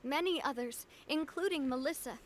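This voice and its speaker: A woman's voice: high-pitched, normal speed, a little hiss or hum, natural voice. An actress playing a poised, spirited young female gang leader in a video game, speaking expressively. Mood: grave, gentle. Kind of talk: dramatic video game dialogue.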